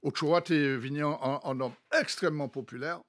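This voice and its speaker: A man with a Martinican accent, telling history in casual discussion, halting yet emphatic, slipping Creole into his French. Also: a little high, fairly bright tone. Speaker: studio guest.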